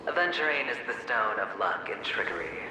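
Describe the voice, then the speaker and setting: A man's voice: on the low side, very animated, brisk. An actor playing the mocking inner double of a young man, taunting and slipping into hissed whispers, his voice echoing and distant. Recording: heavy echo, distant, game ambience noise.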